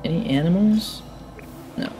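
A man in his thirties giving casual game commentary into a headset, thinking aloud in short, low-key remarks. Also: a little slow, over music. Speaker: gamer, let's play host. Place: indoor room at a gaming setup.

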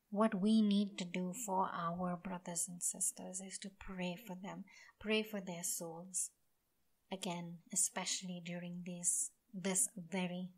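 A woman hosting a devotional podcast, talking in an earnest, reflective way with frequent fillers and restarts. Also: on the low side. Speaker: podcast host.

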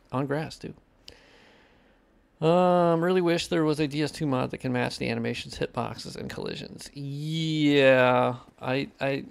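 A man's voice: mid-range voice, gravelly. A young man with a nasal voice chatting casually while he plays and mods a game, cursing freely and answering chat questions. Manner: profane, reads chat questions aloud. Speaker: modder streaming gameplay.

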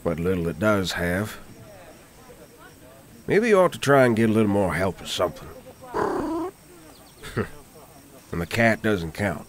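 A man playing a rude, grumpy older town trader in an audio roleplay, gruff and folksy. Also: fairly low pitch, huge pitch swings, unhurried, long pauses. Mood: grumpy, dismissive.

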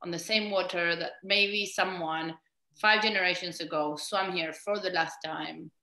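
A woman in her thirties, from Argentina, hosts a conversation over a video call. Her voice is bright and sits fairly low, with a roomy echo around it. She speaks thoughtfully, searching for her words. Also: steady tone, measured pace. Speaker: host, moderator. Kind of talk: conversational panel discussion. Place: home room with bookshelves.

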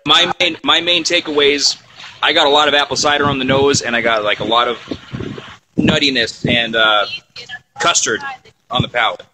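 A man in his thirties, co-host of a whiskey review show, chatting in a laid-back, halting way from a moving boat, phone held at arm's length. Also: medium pitch, sharp tone, slight background noise, rough recording. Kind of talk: casual tasting chat.

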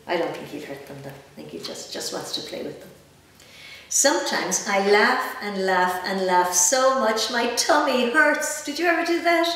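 A woman's voice: on the high side, moderate pace. A woman in her fifties with an Irish accent reads a picture book aloud to children, expressively, with chatty asides and questions. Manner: animated, gently expressive, addressing young listeners with questions. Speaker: guest storyteller. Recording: echoey room, distant mic, faint noise.

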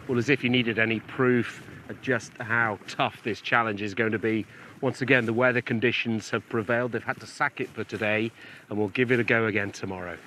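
A man's voice: medium pitch, fairly even intonation, fairly quick, bright, forceful tone, a little hiss or hum. A man reporting live outdoors on the coast, chatty and on the spot. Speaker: reporter on location.

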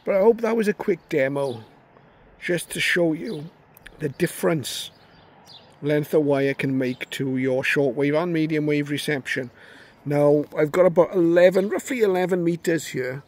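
A man's voice: fairly high pitch, normal speed, darkish tone. A man casually demonstrating a radio antenna outdoors in a garden, recorded on a phone, thinking aloud with fillers and restarts. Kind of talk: casual hands-on demo narration. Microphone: phone held near the radio. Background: radio static and station audio.

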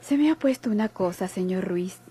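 A Mexican actress playing an inquisitive, gossipy hospital nurse in a classic radio soap, speaking formally and probingly. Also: female voice, medium pitch, animated, moderate pace, dark tone, slightly rough. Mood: probing, professional. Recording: old noisy radio drama recording.

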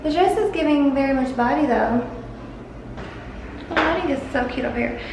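A woman's voice: sits high, fairly quick, a little mellow, echoey room. A young woman chatting playfully to her handheld camera as she films a trip vlog. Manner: chatty, playful, self-filming. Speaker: vlogger. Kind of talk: casual vlog chat. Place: rental house and outdoors in snow. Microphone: handheld camera at arm's length. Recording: noisy handheld camera audio.

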